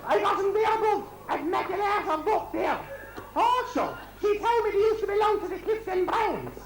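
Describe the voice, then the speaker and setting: A man's voice: very high voice, very animated, moderate pace, a loud crowd, very noisy, full character voice. A man playing a gossipy, scolding Irish countrywoman in an amateur comic pantomime, rambling and full of exclamations. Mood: gossipy, exasperated. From Ireland. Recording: echoey amateur stage recording.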